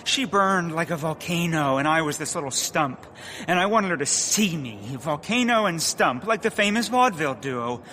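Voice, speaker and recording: A man's voice: a little high, lively intonation, moderate pace, sharp tone, a little hiss or hum. An actor playing a hapless, melodramatic young man who rambles about love and his own heroics. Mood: lovelorn. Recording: music playing under the voice.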